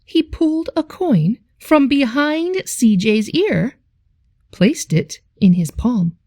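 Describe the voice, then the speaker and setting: A woman's voice: high voice, very animated, takes it slowly, dark tone, no room sound, clean studio sound. A woman reading a children's story aloud expressively, like a teacher.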